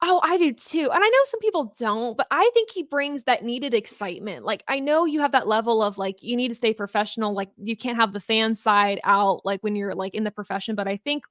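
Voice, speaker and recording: A woman's voice: on the high side, fairly quick, few pauses, fairly bright tone, no echo, studio quality. A young woman talking about her career as a podcast guest, upbeat and chatty with many "like"s. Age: young adult. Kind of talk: casual interview chat.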